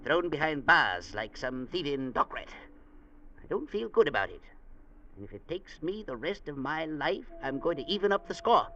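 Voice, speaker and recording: A blustering bantam sea captain. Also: male voice, fairly high pitch, very animated, normal speed, pushed and bright, full character voice. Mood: aggrieved. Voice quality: blustering, gruff. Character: short-tempered bantam sea captain, middle-aged man. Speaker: ship's captain. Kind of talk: 1940s radio acting. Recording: dull old radio broadcast with faint hiss.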